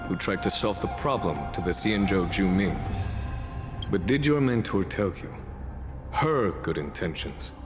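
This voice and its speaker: An actor playing a calm, shrewd general in a video game, speaking in measured, formal, eloquent phrases. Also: male voice, sits low. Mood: grave. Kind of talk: scripted video game dialogue. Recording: long reverb, game music behind.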